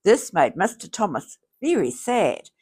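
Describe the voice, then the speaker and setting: Slow, very animated woman reading a children's story. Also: mid-range voice, husky. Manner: slow, theatrical, deliberate phrasing. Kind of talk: expressive scripted storybook read-aloud.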